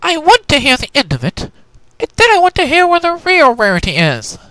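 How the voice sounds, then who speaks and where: An insistent young cartoon mare, acted by the narrator, brisk and impatient. The voice is high and sharp with very animated pitch. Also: dry recording, heavily acted. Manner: interrupting, insistent.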